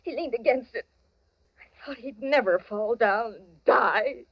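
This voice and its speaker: An anguished lovesick woman, high voice, emotional and pleading, old muffled radio drama. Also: unhurried. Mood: anguished confessing. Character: anguished woman in love, hiding guilt. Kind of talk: 1940s radio melodrama acting. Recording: dull old radio recording.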